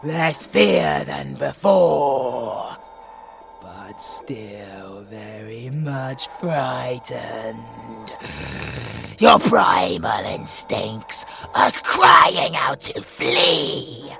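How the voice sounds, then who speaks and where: A man's voice: mid-range voice, creaky, sharp tone, full character voice. A British man voicing a sadistic, predatory supervillain monster in an audio roleplay, mocking his prey with theatrical menace. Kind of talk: villain audio roleplay acting.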